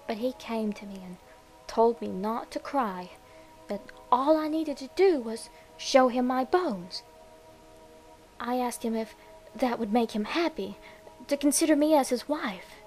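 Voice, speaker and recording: Slow, high-voiced demented young woman, acted by a woman. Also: darkish tone, poor audio. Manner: demented, breathy, dramatic, slow with whispered words. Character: deranged lovestruck young woman obsessed with a skeleton, giddy and morbid. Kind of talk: first-person horror story narration.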